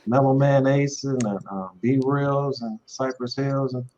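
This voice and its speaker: A man's voice: medium pitch, steady tone, a little slow, dark tone. Laid-back man on a dull, lo-fi line. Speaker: co-host. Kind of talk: casual interview chat. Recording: dull lo-fi sound with faint noise.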